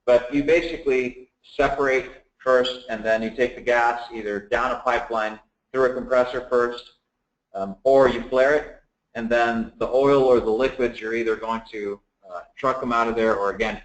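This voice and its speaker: A man from the Dominican Republic giving a relaxed technical talk at a seminar, with many fillers and restarts. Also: medium pitch, normal speed. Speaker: guest speaker. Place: seminar room, echoey. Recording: echoey room.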